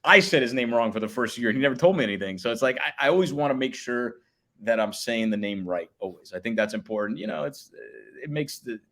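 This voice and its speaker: A man in his thirties, a public address announcer with a booming voice, chatting casually in an interview. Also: expressive, fast, a bit bright. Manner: booming voice, slips into announcer calls.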